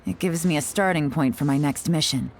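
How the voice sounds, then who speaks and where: A woman's voice: deep voice, brisk, slight background noise. A woman playing a sly, confident secret agent in a video game, poised and playfully knowing. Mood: cool, calculating.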